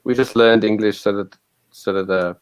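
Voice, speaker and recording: A man from South Africa whose first language is Afrikaans, chatting casually in halting English while searching for words, over a computer microphone on a video call. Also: on the low side, steady tone, clear, resonant tone. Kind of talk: casual chat.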